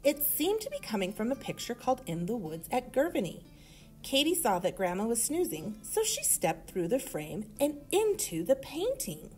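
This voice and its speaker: A woman reading a children's story aloud in an expressive manner. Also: on the high side, moderate pace, soft background music. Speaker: storybook reader.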